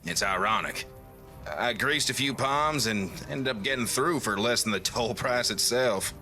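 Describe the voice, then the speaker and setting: A man's voice: medium pitch, unhurried, pushed and bright, soft background music. A man voicing an amiable, down-on-his-luck traveler in a video game, chatty and wryly explaining things. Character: amiable down-on-his-luck male traveler offering paid courier work. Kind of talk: video game dialogue acting.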